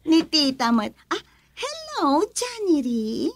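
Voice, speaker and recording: High-pitched, pouty young Ilocano woman. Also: wildly swooping pitch, extremely slow, noisy background, full character voice. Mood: gushing, coy. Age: young adult. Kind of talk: Filipino radio drama acting.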